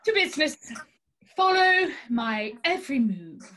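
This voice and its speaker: A woman's voice: high voice, sing-song, measured pace, bright, forceful tone, heavily acted. A woman acting an eccentric, grandiose tarot reader in a comic stage scene, with pompous mystical pronouncements. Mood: theatrical, airy.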